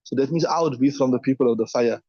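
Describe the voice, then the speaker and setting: A man teaching a hadith class at a brisk, rapid pace. He reads each line and then explains it plainly. The sound is dry and close, but dull and muffled. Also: mid-range voice, dark tone, husky, dry recording.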